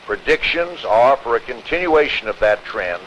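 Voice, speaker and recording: A man's voice: medium pitch, animated, moderate pace. An American man reading a formal weather warning as an official announcement. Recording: dull, noisy archival recording.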